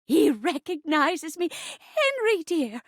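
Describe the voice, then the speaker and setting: A woman voicing a theatrical old woman who is a living chair in a video game, with stagey gasps and hushed asides. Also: very animated, takes it slowly, no echo, studio quality, full character voice. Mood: tender, amazed. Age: older. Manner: stagey, gasping, swooping from hushed asides to high cries. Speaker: datable game character. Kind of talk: video game voice acting, murder-mystery melodrama.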